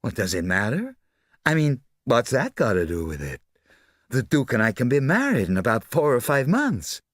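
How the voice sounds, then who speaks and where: A man voicing a vain, self-absorbed glamorous actress in an audiobook, with a husky, breathy, gushing drawl. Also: mid-range voice, wildly swooping pitch, normal speed, a bit bright, no room sound, clean studio sound, voice pushed up. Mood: careless. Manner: gushing, childlike, languid drawl.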